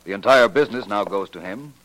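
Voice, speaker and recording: An actor playing a courteous, confident private investigator in a 1940s radio drama, polite and assured. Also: male voice, lowish voice, fast, a bit bright, natural voice. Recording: faintly noisy old radio recording.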